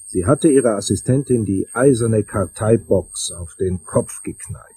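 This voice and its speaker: An actor playing a hard-boiled detective, narrating his case in a deliberate, theatrical crime radio drama style. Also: lowish voice, dark tone, soft background music, natural voice, low-quality recording. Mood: suspenseful. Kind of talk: noir first-person crime drama narration.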